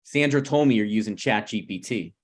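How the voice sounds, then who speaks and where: A man's voice: on the low side, brisk, close to an everyday voice. A man in his thirties at a script table read, playing a needy, prickly struggling screenwriter who talks in a pressing, conversational way. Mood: accusing. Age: 30s.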